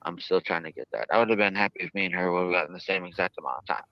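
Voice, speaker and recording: A man giving unscripted interview answers in a halting, earnest, wordy way, often repeating himself, on muffled audio. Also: sits low, no room sound. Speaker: jailed interviewee. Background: played within a live stream.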